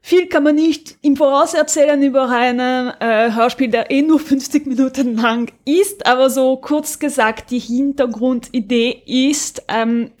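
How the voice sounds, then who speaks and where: Woman, slightly high pitch, podcast co-host, casual conversational explaining, halting delivery. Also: darkish tone. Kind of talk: casual chat, recommending an audio drama.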